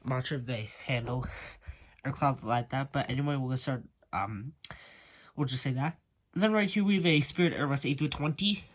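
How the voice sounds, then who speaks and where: A man's voice: mid-range voice, moderate pace. A young man with a congested, stuffy voice, narrating a casual video tour of his model airport, with frequent ums and uhs.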